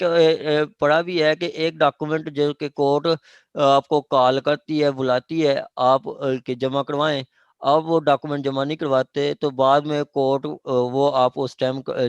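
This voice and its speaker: A man with a Pakistani accent explaining law to a class informally and haltingly, often checking that they follow. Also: mid-range voice, smooth, no room sound, lo-fi sound. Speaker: law lecturer. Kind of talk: informal classroom legal explanation with question and answer.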